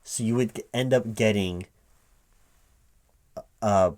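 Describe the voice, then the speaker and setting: A man speaking aloud in a one-sided ASMR job interview roleplay as a recruiting manager, halting and repeating himself as if puzzled. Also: lowish voice, a little mellow. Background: computer keyboard typing.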